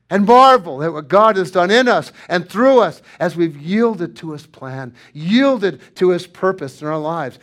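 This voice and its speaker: A man preaching a sermon, moving from loud, emphatic lines to hushed, near-whispered ones. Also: high voice. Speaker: pastor.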